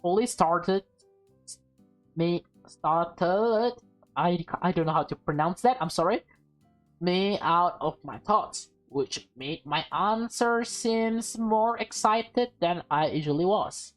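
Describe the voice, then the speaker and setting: Woman, lowish voice, unhurried, casual game read-aloud, halting delivery, stumbling and correcting herself, music behind. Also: expressive. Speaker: gameplay streamer reading a visual novel aloud. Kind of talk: casual let's-play read-aloud with commentary.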